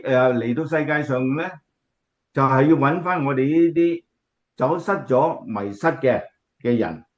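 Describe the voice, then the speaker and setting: Radio drama role: man playing devout Cantonese grandpa, gentle preaching manner, mid pitch, lo-fi sound. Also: lightly acted.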